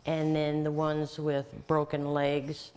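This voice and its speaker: A woman with a low voice gives an interview as wildlife center staff. She explains in plain, practical terms. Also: completely flat delivery, slow pace, darkish tone.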